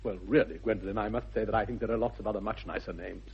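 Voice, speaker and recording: Husky, fast-talking young gentleman suitor. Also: lowish voice. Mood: flustered, smitten. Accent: upper-class English. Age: young adult. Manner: earnest, flustered, polite. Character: nervous, lovestruck young English gentleman suitor. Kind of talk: 1960s BBC radio comedy acting. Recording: dull old radio recording.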